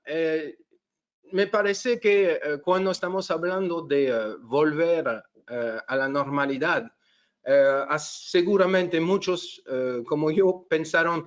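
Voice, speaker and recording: A middle-aged man from France with a French accent, presenting a webinar in a halting way with many fillers and restarts. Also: fairly high pitch. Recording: dull lo-fi webinar audio.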